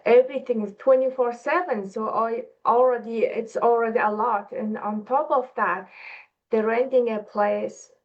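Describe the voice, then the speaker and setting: A woman's voice: on the high side, steady tone, moderate pace. A woman with a non-native English accent giving a personal testimonial as a panelist, on a lo-fi online call. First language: not English. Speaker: talk show panelist, online business owner.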